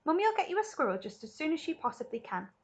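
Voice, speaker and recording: A woman's voice: high-pitched, sing-song, fast talker, pitched above the performer's own voice. A woman doing a shrieking frantic mother. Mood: soothing. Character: shrieking panicky rich mother.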